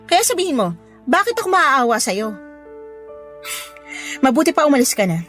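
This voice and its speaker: A woman's voice: high voice, big pitch swings, normal speed, soft background music. A woman acting as a fed-up, scornful young mother scolding her ex in a Filipino radio drama. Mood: angry, bitter. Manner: sharp, scolding, sarcastic.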